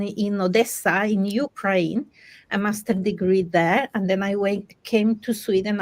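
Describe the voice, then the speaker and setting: Slow-paced woman with Panamanian Spanish accent. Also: mid-range voice. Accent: Spanish-accented English, Panamanian. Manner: halting, self-correcting second-language phrasing. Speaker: coach. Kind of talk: conversational personal storytelling in a group discussion.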